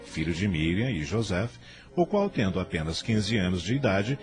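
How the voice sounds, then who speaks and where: A man's voice: low voice, very animated, normal speed. A man with a Brazilian accent narrating a radio drama in a solemn, literary, dramatic style. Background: music.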